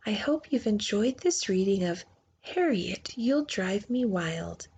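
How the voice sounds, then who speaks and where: Slow, animated woman reading a storybook. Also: mid-range voice, slightly rough. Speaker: children's book reader. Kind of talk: expressive storybook read-aloud.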